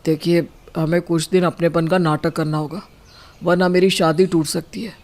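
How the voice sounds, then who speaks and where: A woman's voice: on the low side, level tone, normal speed, a little mellow, close to an everyday voice. A woman voicing a casual, persuasive young husband and younger brother in a cartoon. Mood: confiding. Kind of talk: cartoon dialogue.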